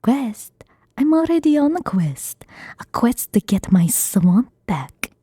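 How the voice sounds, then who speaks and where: A woman voicing a gruff, grumpy male ogre in a put-on mix of Scottish and Russian accents, talking bluntly. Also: a little high, very animated, slow pace, mellow, slight background noise. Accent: mixed Scottish and Russian put-on.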